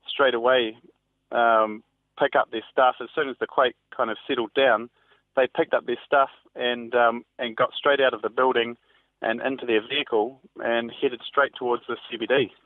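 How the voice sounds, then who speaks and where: A man's voice: mid-range voice, fairly even intonation, dry recording. A man, a reporter giving a hesitant, spontaneous eyewitness account in an interview over the telephone. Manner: hesitant, halting. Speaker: newspaper reporter interviewed by phone. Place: on a telephone call. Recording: tinny narrow phone line.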